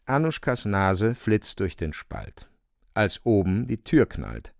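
A male narrator of a literary radio drama with a lowish, creaky voice. He tells the story vividly and suspensefully, with animated intonation. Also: takes it slowly, no room sound, clean studio sound.

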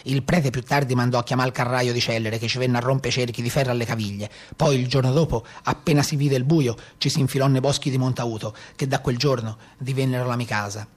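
An actor playing a rugged escaped brigand who tells his own story in a Tuscan accent, in a brisk, matter-of-fact theatrical style. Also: medium pitch, steady tone, lightly acted. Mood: brisk, gritty recounting. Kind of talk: first-person theatrical storytelling.

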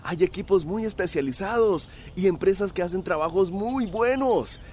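An actor playing a knowledgeable village well builder in a radio drama, explaining methodically. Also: male voice, sits high, moderate pace, a little mellow, slight background noise, lightly acted. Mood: instructive.

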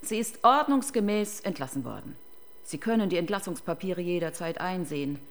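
An actress playing a cold, defiant clinic doctor under interrogation in a German radio crime drama, formal and indignant. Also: female voice, on the low side, expressive, moderate pace. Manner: formal, clipped, indignant, evasive.

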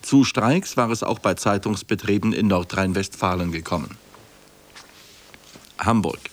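A man's voice: deep voice, measured pace. A man reading a formal scripted radio news bulletin in a sober, factual manner. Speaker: radio newsreader.

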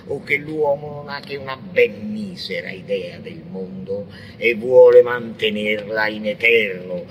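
An actor playing a frenzied, blasphemous visionary poet in an avant-garde radio monologue, declaiming theatrically and lurching between whispers and shouts. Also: male voice, mid-range voice, a little hiss or hum, rough recording. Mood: bitter, mocking. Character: frenzied, blasphemous visionary poet ranting against God and man.

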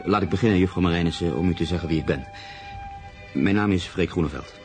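A deep, gravelly, brooding male writer. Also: quick pace, a little mellow. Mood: flustered, formal. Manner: formal, hesitant, brooding. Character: proud, troubled middle-aged male writer, brooding and easily flustered. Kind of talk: radio drama dialogue and reflective narration. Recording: radio play with music underneath.

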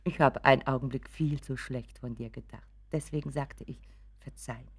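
A woman's voice: a bass voice, steady tone, normal speed, breathy edge, heavily acted. An actress playing an anxious, doting mother in a German radio drama, fussing and apologetic, often dropping to a whisper. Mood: eager, apologetic. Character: anxious, doting, pleading mother of grown son.

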